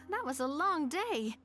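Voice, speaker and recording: A young, breezy video game townswoman. Her voice is high and swings expressively, in a conversational manner over faint music. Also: sharp tone, full character voice. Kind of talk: scripted video game dialogue.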